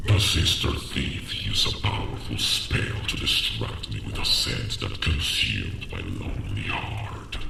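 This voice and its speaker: A man voicing an ancient, proud and bitter male dragon in a fantasy audio roleplay, speaking slowly and haughtily with a gravelly growl. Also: a bass voice, bright, forceful tone, exaggerated voice. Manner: slow, archaic, haughty speech. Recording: roomy, distant voice with faint noise.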